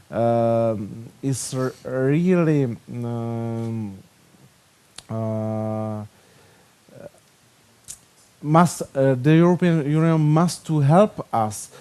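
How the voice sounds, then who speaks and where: A man from the Czech Republic with a Czech accent, speaking halting non-native English with many fillers at a conference panel. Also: medium pitch, warm tone.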